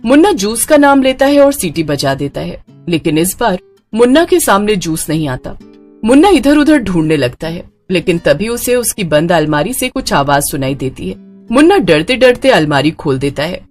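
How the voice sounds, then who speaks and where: A woman's voice: fairly low pitch, big pitch swings, normal speed, a little mellow, soft background music. A woman storyteller giving dramatic scripted narration of a horror tale.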